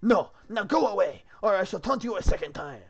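A man's voice: high voice, animated, fairly quick, gravelly, breathy edge, muffled recording, voice pushed up, exaggerated voice. A man voicing a rude, pompous castle guard who is haughty and insulting, in a comic script reading. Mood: mocking, insulting.

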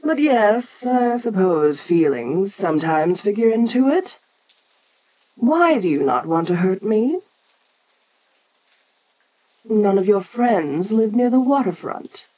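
A woman playing an ancient, imperious kaiju giantess in an audio roleplay, speaking formally and with deliberate, musing condescension. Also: medium pitch, sing-song, dark tone, rough recording. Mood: musing, condescending. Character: imperious ancient female kaiju giantess, haughty, curious, domineering. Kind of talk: audio roleplay addressed to the listener.

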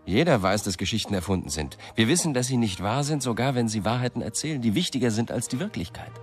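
A man's voice: mid-range voice, expressive, fast talker, faint music behind, close to an everyday voice. An actor playing a brooding, self-doubting male writer and storyteller in a German radio play. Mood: animated storytelling.

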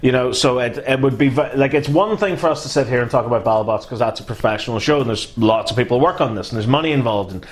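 Animated Northern Irish man, casual chat. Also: mid-range voice, fast, few pauses. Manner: animated, swearing, gestures a lot while talking. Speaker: co-host. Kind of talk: casual chat reading viewer comments. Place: small indoor room.

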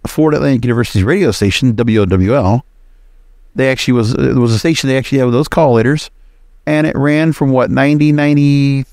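A man's voice: mid-range voice, a little mellow, no room sound, studio quality. A man hosting a solo podcast, talking casually in a rambling, conversational way. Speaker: podcast host.

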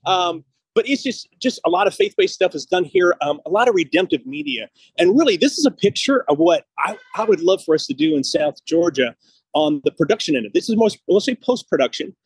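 A man's voice: sits high, very animated, fairly quick, slightly rough, dry recording. A man in his fifties chatting casually as a podcast host, talkative and easygoing in style, speaking into a phone on a video call. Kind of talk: casual chat. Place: walking outside and into a studio building. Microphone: phone held at arm's length on a video call.

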